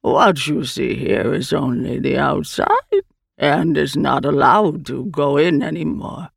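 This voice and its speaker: A woman voicing a stern, guarded creature in an audiobook story, with drawn-out, deliberate speech. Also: deep voice, expressive, creaky, husky, no echo, studio quality, full character voice. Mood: grave, accusing. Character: the Eye, floating many-armed creature, slow, stern, guarded.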